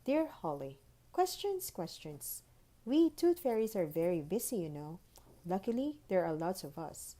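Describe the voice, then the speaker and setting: Woman voicing a brisk, bossy storybook tooth fairy. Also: lowish voice, big pitch swings, dark tone. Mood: brisk, proud. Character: busy, bossy, impatient female tooth fairy writing letters. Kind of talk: children's storybook read-aloud.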